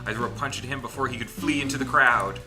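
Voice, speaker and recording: A man's voice: medium pitch, fast talker. A man hosting a group let's play, reading a visual novel aloud theatrically and breaking off for casual joking asides. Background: game music.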